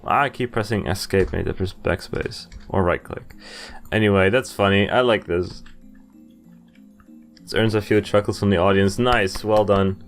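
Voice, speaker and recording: A man's voice: lowish voice, steady tone, normal speed, faint music behind. A young man giving casual game commentary and reading on-screen text aloud, easily amused and often chuckling. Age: young adult. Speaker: gamer recording a playthrough. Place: indoors at a desk, with game music behind.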